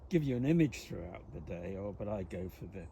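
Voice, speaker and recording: A man's voice: deep voice, animated, a little fast, vocal fry, warm tone, slight background noise. A man chatting with a stranger outdoors, hesitant and polite, with frequent restarts. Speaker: passer-by. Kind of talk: casual chat.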